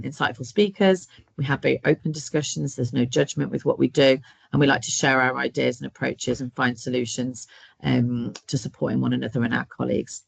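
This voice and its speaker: A man opening an online session, his fairly high voice gravelly with fry. He talks hesitantly, stopping and restarting often. The sound is dry and close, with no room to it. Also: fairly bright tone, no echo. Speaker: webinar host. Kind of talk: introducing a webinar. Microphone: video call.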